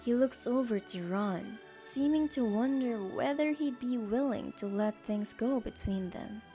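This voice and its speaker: A woman's voice: fairly high pitch, unhurried, dark tone, soft background music. A woman narrating a scripted story for an audio drama.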